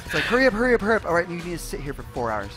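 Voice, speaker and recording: A man chatting casually on a podcast panel, telling anecdotes in a halting way with repeated words. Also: sits high, sing-song, fairly bright tone. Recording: music clearly behind the talk.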